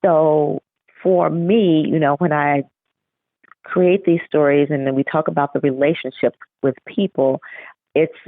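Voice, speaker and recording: A woman's voice: sits low, fairly even intonation, measured pace, dry recording. A woman author giving reflective, explanatory answers in a conversational interview over a phone line. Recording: tinny, narrow phone-like line.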